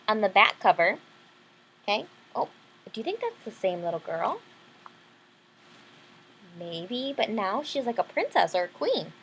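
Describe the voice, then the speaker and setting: A woman's voice: medium pitch, sing-song, a little slow, long pauses, a bit bright, a little hiss or hum, low-quality recording. A woman teacher doing a storybook read-aloud for young children, explaining things simply and checking in with questions.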